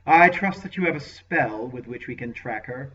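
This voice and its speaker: A man's voice: mid-range voice, animated, moderate pace, a bit bright, slightly rough, pitched above the performer's own voice. A man voicing a ruthless, scheming lord who speaks with commanding contempt in an audiobook. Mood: imperious scheming. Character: ruthless scheming male warlord lord, venomous and imperious. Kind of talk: audiobook character voice. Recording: lo-fi dull recording.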